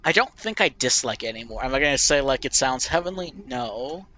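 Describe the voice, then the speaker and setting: Young male gamer, nasal and bright, thinks aloud with rambling, self-deprecating answers. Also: medium pitch, very animated, brisk, gravelly, a little hiss or hum. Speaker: gamer playing a question game. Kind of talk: casual reflective commentary.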